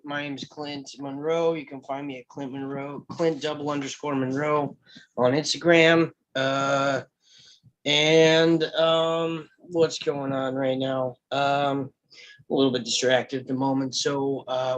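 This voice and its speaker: A man gives a casual, hesitant self-introduction in a mid-range voice. He speaks very slowly, and the audio is dull and muffled. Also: medium pitch, little pitch movement. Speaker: actor in a table read.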